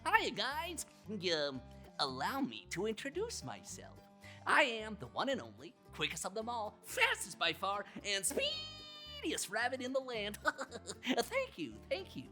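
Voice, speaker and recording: A woman plays a cocky young male rabbit in a children's storybook, bragging and pleading with showy excitement. The pitch is high and swings wildly, with music playing underneath. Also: slow pace, full character voice. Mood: cocky, excited. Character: boastful, hyperactive young male rabbit eager to race.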